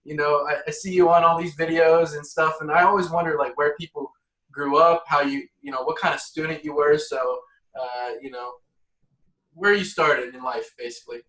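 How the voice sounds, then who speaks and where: A man's voice: a little high, fairly even intonation, normal speed, pushed and bright. A man hosting a podcast, asking questions in a casual, conversational interview style. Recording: roomy, echoey voice.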